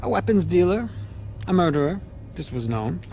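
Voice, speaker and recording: A man's voice: sits high, very animated, moderate pace, dark tone, faint music behind. An actor playing a cynical male police detective in a video game, wry and sardonic.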